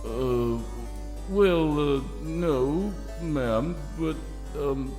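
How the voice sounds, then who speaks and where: A man plays a reluctant cartoon pony chamberlain, formal and hesitant. His fairly high voice rings with long reverb over faint music. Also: expressive, drawn-out words, dark tone. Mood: flustered. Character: hesitant, reluctant pony royal chamberlain, stuffy male official.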